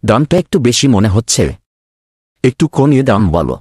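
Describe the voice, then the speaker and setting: Man playing a husky, pompous old village uncle. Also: mid-range voice, big pitch swings, moderate pace, darkish tone, no room sound, clean studio sound. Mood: interested, haggling. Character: gullible, self-important older village uncle buying a goat. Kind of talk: comedy cartoon voice acting.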